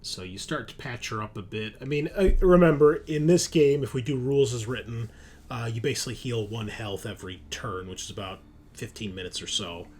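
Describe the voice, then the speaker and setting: A man narrating a tabletop roleplaying game as game master in a halting, informal, explanatory way. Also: medium pitch, a little mellow. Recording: clear background noise, room ambience.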